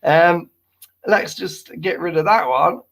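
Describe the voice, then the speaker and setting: Gravelly man losing his voice. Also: on the high side, slow, fairly bright tone. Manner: playful and jokey, repeating exclamations. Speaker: host of a live stream. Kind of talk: casual live-stream chat reading viewer comments.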